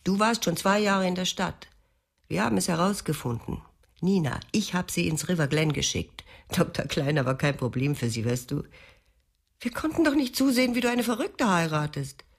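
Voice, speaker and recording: A woman's voice: lowish voice, expressive, normal speed. A scornful older mother. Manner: contemptuous, scornful. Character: cold, bitter older mother of a grown son. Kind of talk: German radio drama acting.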